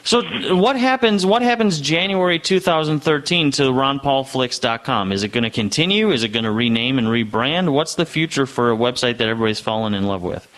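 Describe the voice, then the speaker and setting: A man's voice: mid-range voice, lively intonation, brisk, few pauses, a bit bright. A man hosting an interview, asking questions in an upbeat, conversational way. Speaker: show host.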